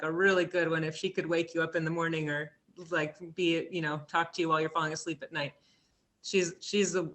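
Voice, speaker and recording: A woman's voice: on the low side, fairly bright tone. A young woman speaking casually in a discussion on a video call. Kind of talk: casual post-show discussion. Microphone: laptop video call.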